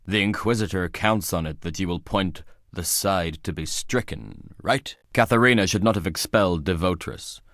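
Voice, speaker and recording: A solemn old judge for a video game, speaks in a low-pitched voice with very little room sound. He is weary and cryptic, and each line comes out as a grave, formal pronouncement. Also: no echo, clean studio sound. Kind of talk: dramatic video game voice acting.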